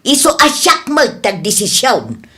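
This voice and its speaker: Radio drama: woman plays tight-fisted female employer, high voice, bossy, dismissive delivery. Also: wildly swooping pitch, a little slow, bright, forceful tone, full character voice. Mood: defiant. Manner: curt, bossy. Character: stingy, scolding female shop boss who lies. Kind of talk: Ilocano radio comedy drama acting.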